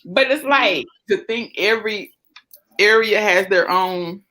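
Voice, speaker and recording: A woman with a Southern US accent hosts a casual live chat in an animated, teasing way. She has a middling pitch for a woman and talks to her guest in a relaxed, familiar manner. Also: measured pace, rough recording. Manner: animated, teasing, calls everyone "girl". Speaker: host of a live chat show.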